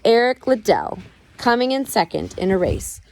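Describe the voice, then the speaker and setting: A woman reading a biography aloud at home in a measured storytelling way, with expressive emphasis. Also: a little high. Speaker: parent reading aloud to child. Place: at home with a child nearby.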